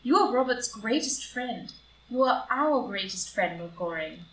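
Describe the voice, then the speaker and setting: A woman acts out a strict, loyal society wife in a drawing-room comedy. Her formal, deliberate lines rise and fall widely in a fairly high voice. The live reading sounds echoey, with faint noise. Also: expressive, fairly bright tone. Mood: confiding, proud. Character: upright, devoted, morally strict society wife. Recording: roomy, distant, noisy live group recording.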